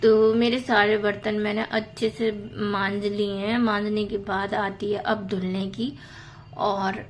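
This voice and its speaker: A woman in her thirties with a hoarse, sore throat, chatting casually to viewers about her housework in a voice-over. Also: medium pitch, slight background noise. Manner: chatty, many fillers, addresses viewers as friends. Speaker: home vlogger.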